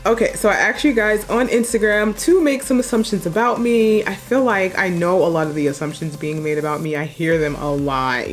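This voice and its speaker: A young woman with a deep voice chatting casually as a makeup vlogger, low-key and chill but blunt when she makes a point. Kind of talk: casual chat while doing a makeup tutorial.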